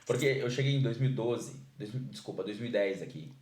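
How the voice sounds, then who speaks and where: A man's voice: mid-range voice, expressive, brisk, darkish tone. A man with a Brazilian Portuguese accent hosting a podcast, asking questions casually and hesitantly, with many fillers. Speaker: podcast host. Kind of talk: casual interview chat. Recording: roomy echo.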